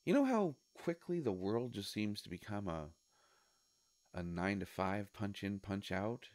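A man playing a sly devilish shopkeeper in a roleplay audio, coaxing and musing with hesitant pauses. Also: on the low side, animated, darkish tone, no room sound. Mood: bored, musing. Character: sly devilish male shopkeeper of a wish-granting store. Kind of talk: scripted roleplay audio monologue.

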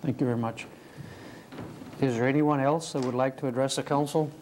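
Mellow-voiced man chairing a council meeting. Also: mid-range voice, steady tone, brisk, slight background noise, rough recording. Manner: formal, courteous procedural phrasing. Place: city council chamber.

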